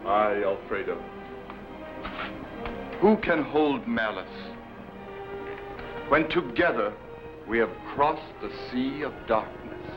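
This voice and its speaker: Slow, solemn Renaissance admiral. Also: male voice, medium pitch, animated. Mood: solemn triumph. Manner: solemn, theatrical declamation. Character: grave, noble Renaissance explorer admiral, vindicated and forgiving. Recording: muffled vintage soundtrack, loud music.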